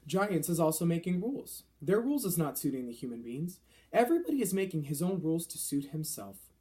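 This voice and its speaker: A man's voice: a little high, big pitch swings, a little fast, dark tone. Guy doing a big friendly giant for a kids book, folksy broken grammar, earnest, voice swings up and down. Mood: wry, firm. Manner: quaint broken grammar with mangled, invented words. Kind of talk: children's storybook character voice.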